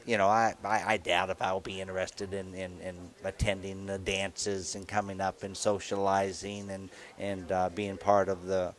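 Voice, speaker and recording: A man in his sixties co-hosting a live radio telethon, talking in a halting way with many fillers and restarts. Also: low voice, level tone. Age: 60s. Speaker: telethon co-host.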